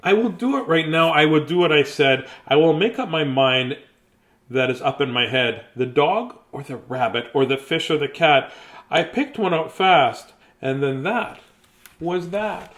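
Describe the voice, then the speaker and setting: A man's voice: a little high, a little slow, fairly bright tone. A man reading a children's bedtime story aloud expressively, with playful, theatrical delivery and chatty, casual asides.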